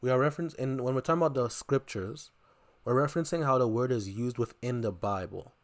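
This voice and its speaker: A man's voice: mid-range voice, brisk. Insistent man on a debate stream. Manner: insistent, repeats his question, cuts in. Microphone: headphones plugged in, computer mic.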